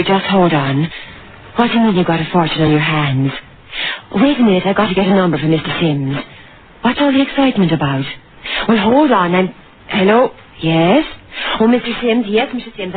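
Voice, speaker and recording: A woman's voice: on the low side, animated, normal speed, lightly acted. An actress playing a chatty, obliging young switchboard girl in a 1950s radio drama. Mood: busy, flustered. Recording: very noisy, tinny vintage radio broadcast.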